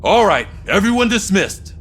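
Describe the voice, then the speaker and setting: An actor playing a commanding, pragmatic police chief in a video game, brisk and authoritative. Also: male voice, high-pitched, sing-song, a bit bright, slight background noise. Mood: firm, commanding. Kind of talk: video game cutscene acting.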